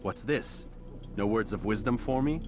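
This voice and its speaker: A hardened assassin, voiced by a man, speaks in a calm, clipped manner. His voice sits low. Loud game score swells behind him. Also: normal speed, natural voice. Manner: calm, terse. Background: loud game score music.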